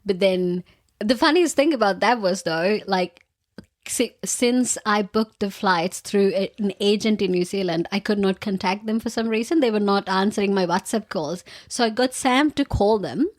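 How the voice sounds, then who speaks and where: A woman's voice: mid-range voice, moderate pace. A young woman with a Sri Lankan accent co-hosting a podcast in casual, chatty talk, laughing often and full of fillers. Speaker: podcast co-host. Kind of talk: casual chat.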